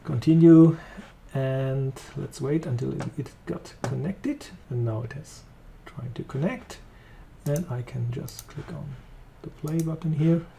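A man with a German accent walking through a software demo, talking casually as he clicks along. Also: on the high side, measured pace, vocal fry, slight background noise. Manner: relaxed, thinking aloud while clicking. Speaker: software trainer. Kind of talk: screen-recorded software demo.

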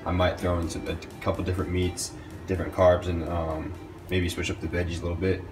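A young man talks through his cooking in a relaxed, rambling way, full of likes and ums. His voice sits low. A small kitchen gives it an echoey ring off the walls. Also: brisk, over music. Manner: laid-back, filler-heavy talk with many likes and ums. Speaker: fitness vlogger. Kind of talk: casual vlog chat. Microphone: camera mic at arm's length.

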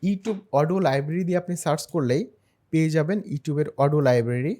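Fast-talking man narrating a tutorial. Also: a little high, warm tone. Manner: step-by-step, explanatory. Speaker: tutorial host. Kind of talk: screen-share software tutorial narration.

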